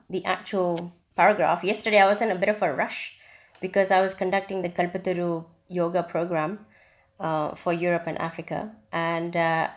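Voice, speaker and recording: This is a woman from India with a slightly low voice, streaming a casual spiritual teaching. She talks reflectively and repeats words as she thinks. Frequent ums and small restarts keep it loose and conversational. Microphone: phone or webcam on a live video stream.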